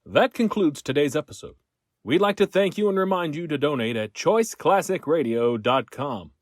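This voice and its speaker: A man's voice: on the high side, expressive, moderate pace, no room sound, clean studio sound. A man reading a scripted outro as a podcast announcer.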